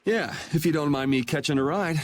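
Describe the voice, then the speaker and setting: A man's voice: on the high side, a little fast, close to an everyday voice. An actor playing a laid-back young swordsman in a video game, speaking in a casual, dry, teasing way. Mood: wry. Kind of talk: video game cutscene acting.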